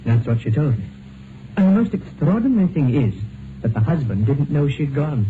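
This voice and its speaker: Tinny, grave doctor. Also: male voice, fairly high pitch, sing-song, normal speed. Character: grave, courteous, morally stern doctor. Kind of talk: 1950s radio drama acting. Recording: noisy, tinny 1950s radio broadcast.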